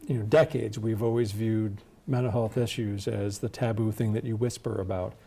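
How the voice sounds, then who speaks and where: A man speaks as an expert guest in a mellow, gravelly voice. His phrasing is measured and deliberate as he explains his points conversationally. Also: fairly low pitch, fairly even intonation. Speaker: interview guest, healthcare executive.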